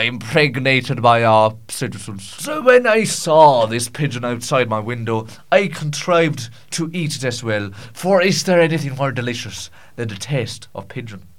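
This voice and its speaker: A man's voice: fairly high pitch, fairly bright tone. A man putting on a pompous, rambling Scottish storyteller. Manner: mock-solemn, archaic phrasing, stumbling and repeating. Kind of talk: improvised comic monologue in character.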